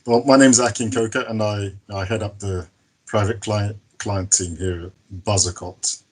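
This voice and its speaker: Man in his fifties, deep voice, husky tone, wry and hesitant panel intro. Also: moderate pace. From United Kingdom and Nigeria. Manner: relaxed, self-deprecating, hesitant. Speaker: private client adviser.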